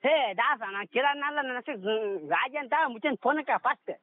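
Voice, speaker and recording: A man's voice: very high-pitched, brisk, no room sound. An irritated man with a North Karnataka Kannada accent, arguing bluntly and protesting over the telephone. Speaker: prank call recipient. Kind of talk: heated phone argument. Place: on a phone call. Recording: tinny phone line with noise.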